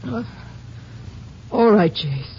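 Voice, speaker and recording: An actress playing a gracious, grieving small-town widow who speaks softly and hesitantly in a 1950s western radio drama, on an old recording. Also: female voice, mid-range voice, expressive, drawn-out words, lo-fi sound, a little hiss or hum. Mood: subdued, anxious.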